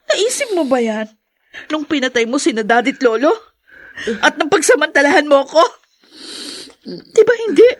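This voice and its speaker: A woman's voice: sits high, sing-song, normal speed, long pauses. Wounded young Filipino woman, radio drama. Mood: bitter, vengeful. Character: young woman Claire, wounded but hopeful orphan. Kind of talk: Tagalog radio drama acting.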